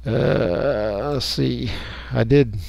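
Casting: American man, medium-pitched, folksy hobbyist talking through software off the cuff, in a dry recording. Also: extremely slow, gravelly, dry recording. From United States. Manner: folksy, rambling, thinking aloud. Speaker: radio hobbyist giving a software tutorial. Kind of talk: casual unscripted screen-recording walkthrough.

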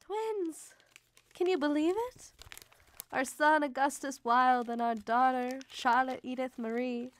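A woman's voice: high voice, a little slow. An actress playing a devoted young Victorian mother, reading letters to her brother in an earnest, emotive way for a radio drama. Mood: joyful.

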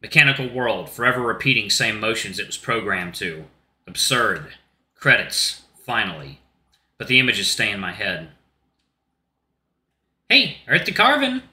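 A man hosting a Let's Play and reading a visual novel aloud, casual and slightly hoarse from being sick. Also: lowish voice, moderate pace, sharp tone. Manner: casual, says y'all, restarts lines. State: sick, voice deeper than usual. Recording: roomy with faint music.

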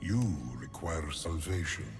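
An actor playing an ancient, otherworldly king in a video game, solemn and condescending, with grave, deliberate pronouncements. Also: low voice. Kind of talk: video game character monologue. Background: music.

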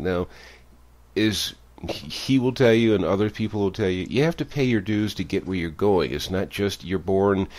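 A man's voice: low voice, a little mellow. A man hosting a radio interview show, introducing his guest in an upbeat way.

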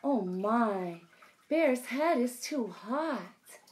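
A woman voicing a small, caring mouse in a picture book, talking softly and reassuringly. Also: a little high, lively intonation, extremely slow. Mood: soothing.

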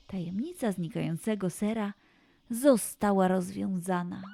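A woman narrating a children's story slowly and expressively. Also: mid-range voice, a little hiss or hum. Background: soft background music.

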